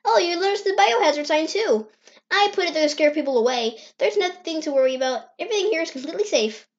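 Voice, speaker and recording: A performer voicing an eager, anxious young boy fox in an amateur cartoon comic dub, earnest and excitable. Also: very high-pitched, fast talker. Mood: cheerful. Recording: dull, lo-fi screen-recorded sound.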